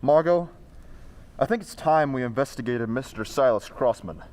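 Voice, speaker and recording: A man playing a courteous, composed amateur sleuth in an old-time radio drama, with formal, measured phrasing. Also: mid-range voice, animated, darkish tone, lightly acted. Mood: calm, probing.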